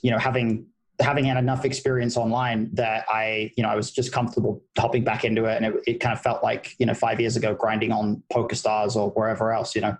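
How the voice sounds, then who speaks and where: A man's voice: fairly low pitch, monotone, fast talker. Easygoing British man in his thirties. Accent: British, partly Americanized. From United Kingdom. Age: 30s. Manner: easygoing and thoughtful, with many restarts. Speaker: guest, poker player and coach. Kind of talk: casual podcast interview.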